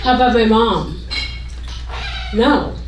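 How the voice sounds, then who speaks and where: A woman in her late forties from Sri Lanka performs a stand-up comedy routine with a halting delivery, leaving pauses after punchlines. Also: fairly high pitch, animated. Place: indoor comedy club stage. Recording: roomy, distant mic, noisy club recording.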